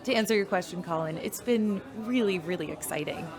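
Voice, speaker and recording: Actress as friendly female uploaded mind, casual, chatty, medium pitch, busy bar chatter behind, audio drama. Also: brisk, natural voice. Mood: friendly, candid. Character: friendly, easygoing female uploaded mind running a general store.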